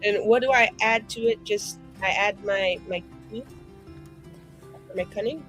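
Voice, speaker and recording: A woman talks as a player in a tabletop roleplaying game. Her pitch sits in the middle for a woman, and her talk is loose, slangy and excitable. Faint music plays under her voice. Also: measured pace. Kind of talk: casual, improvised tabletop game chat.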